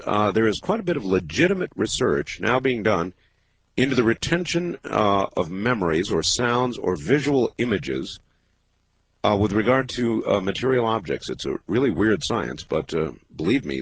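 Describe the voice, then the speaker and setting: A man in his fifties hosting a late-night call-in radio show, talking in a measured, musing way with many pauses. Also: on the low side, husky, dry recording, poor audio. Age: 50s. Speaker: radio talk show host. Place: radio studio. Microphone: close studio microphone.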